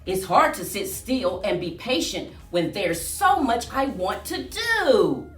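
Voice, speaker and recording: A woman reading aloud to children as a storyteller, with an expressive, singsong delivery. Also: mid-range voice, slow pace. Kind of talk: read-aloud storytime with rhyming chant. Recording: roomy echo, distant mic, faint music.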